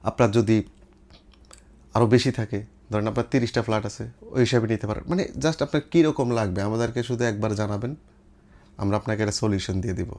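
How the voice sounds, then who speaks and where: A man's voice: fairly low pitch, darkish tone. A man with a Bangladeshi accent giving an informal sales demonstration, explaining things step by step with repetition and English trade words. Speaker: seller presenting products for an electronics shop.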